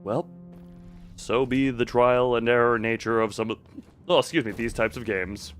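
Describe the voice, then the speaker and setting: A man's voice: mid-range voice, steady tone, moderate pace, a bit bright, faint music behind. A man live-streaming a video game with casual commentary and chat with viewers, rambling in half-finished thoughts with playful sound words.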